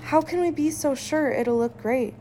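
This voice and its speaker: A woman playing a caring, sensible scientist, wife and mother in an audio drama, with a gentle, earnest and expressive delivery. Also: high-pitched, moderate pace, slight background noise, natural voice. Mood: doubtful.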